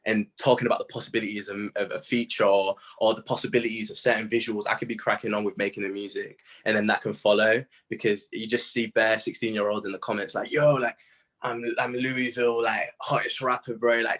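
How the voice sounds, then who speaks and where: A man's voice: lowish voice, a bit bright. A British man in his late teens speaking as a musician in a casual interview, candid and self-reflective, with plenty of slang.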